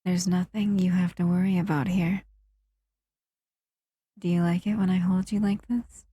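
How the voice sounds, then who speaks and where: A woman's voice: on the low side, flat delivery, normal speed, mellow, husky, no room sound. A woman voicing a captive female shadow monster who soothes and cuddles the listener in a close, intimate audio roleplay. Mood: tender, soothing. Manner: soothing, intimate, often whispering.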